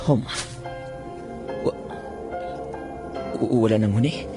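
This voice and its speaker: A man's voice: fairly low pitch, takes it slowly, music in the background. A man acting a brash, easily awestruck young bird trapper in a radio drama, theatrical, with a Western Visayan Filipino accent. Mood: awed. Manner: theatrical, stammering when startled. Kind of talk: radio drama acting with first-person narration.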